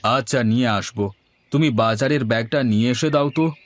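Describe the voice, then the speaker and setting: A man's voice: medium pitch, a little fast, close to an everyday voice. A man playing a hard-up, worried middle-aged village father in a cartoon story, talking in a weary, plaintive everyday way. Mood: plain. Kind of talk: cartoon moral-story dialogue.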